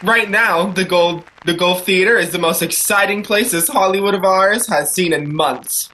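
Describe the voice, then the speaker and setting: A man's voice: high voice, moderate pace, bright, forceful tone. A man hosting a radio show in a formal, showy 1940s announcing style. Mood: welcoming, grand. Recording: crowd and background noise, clicks.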